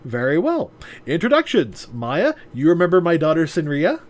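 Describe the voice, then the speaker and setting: A man voicing a calm, resigned young man who speaks in a subdued way. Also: on the high side, normal speed.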